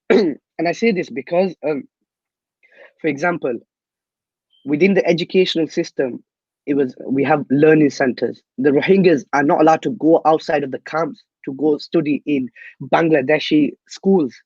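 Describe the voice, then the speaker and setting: A young man from Myanmar, a guest activist, answering interview questions earnestly and haltingly, with many restarts. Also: fairly high pitch, darkish tone, husky, dry recording, highs cut off. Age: young adult.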